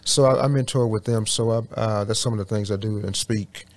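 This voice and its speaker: A man from Houston, Texas, guesting on a podcast and telling about his work in a hesitant way, with frequent pauses and restarts. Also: fairly low pitch, steady tone. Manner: hesitant, many fillers and restarts. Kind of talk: interview answers.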